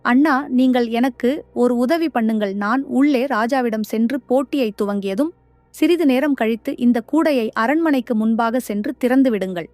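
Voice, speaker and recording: A woman's voice: on the high side, moderate pace, darkish tone, no echo, voice pushed up, natural voice. A woman voicing a brave, clever young wife in a children's cartoon, speaking in an earnest, determined way. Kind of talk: cartoon voice acting.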